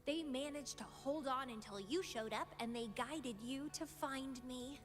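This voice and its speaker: High-voiced, teasing, obsessive young woman. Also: unhurried. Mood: playful, casual. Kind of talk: anime-style video game voice acting. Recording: loud music behind the voice. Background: music.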